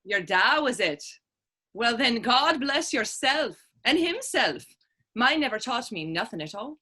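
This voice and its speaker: A woman plays a quick-witted Irish lass in a stage play. Her voice is high and sharp, with lively swoops. She is saucy and challenging, trading folksy retorts. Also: breathy edge, heavily acted. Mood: indignant. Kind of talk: stage readers theater dialogue.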